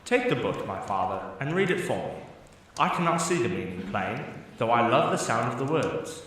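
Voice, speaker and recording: A man's voice: mid-range voice, sing-song, normal speed, a bit bright. A young man playing an eager, bookish young prince in an audio drama, speaking earnestly in formal, archaic phrases. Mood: earnest, puzzled. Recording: very echoey, distant mic, faint noise.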